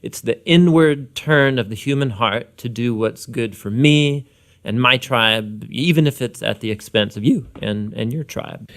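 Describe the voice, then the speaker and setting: A man's voice: medium pitch, a little slow, fairly bright tone. A man co-hosting a Bible lesson, explaining things informally in conversational explainer narration. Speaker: Bible teacher, co-host.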